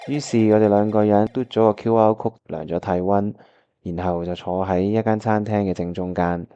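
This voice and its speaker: An actor playing an earnest, caring young pianist in a Cantonese radio drama, with a Hong Kong accent. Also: male voice, on the low side, steady tone, moderate pace, mellow, clean tone, dull audio, close to an everyday voice. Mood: reflective narration. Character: earnest, caring young male pianist, narrating his memories. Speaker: voice actor narrating and playing the lead.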